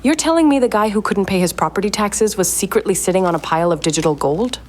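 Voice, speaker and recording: A woman's voice: medium pitch, animated, quick pace, a little mellow, natural voice. A woman playing a wry, suspicious, impatient heiress in an audio drama, with a dry, sardonic and guarded delivery. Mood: mocking disbelief.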